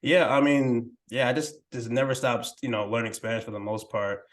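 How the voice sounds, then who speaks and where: A young man talking casually as a podcast guest, softly and hesitantly, with many fillers and restarts. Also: medium pitch, normal speed. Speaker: podcast guest, language learning creator. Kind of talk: casual interview conversation.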